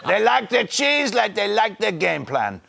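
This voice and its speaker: A smug, leering Frenchman with a put-on accent, played by a man, drawling innuendo slowly. His voice is high and gravelly. The recording has a noisy hiss under it. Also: very animated, heavily acted. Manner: innuendo-laden, theatrical, drawled. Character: lecherous pompous French man, cycling pundit. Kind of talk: comedy sketch in character.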